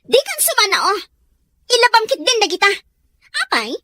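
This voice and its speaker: A woman's voice: extremely high pitch, big pitch swings, brisk, bright, forceful tone, full character voice. A woman with a Filipino accent playing a spoiled, bossy young daughter in an audio drama, demanding and snappish. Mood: bossy, scornful. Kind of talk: amateur audio drama acting.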